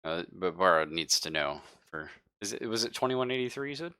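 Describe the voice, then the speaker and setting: A man's voice: deep voice, lively intonation, brisk, sharp tone, clean tone, no echo, clean studio sound. A man acting as game master, narrating and chatting casually in an easygoing, accommodating way. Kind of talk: casual tabletop game narration and table chat.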